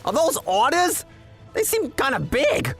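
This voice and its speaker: A man's voice: very high voice, huge pitch swings, unhurried, a bit bright, heavily acted. A man voicing a bratty young yakuza son in a manga dub, whiny and overwrought. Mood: panicked. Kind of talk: manga dub character acting. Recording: background music under voice.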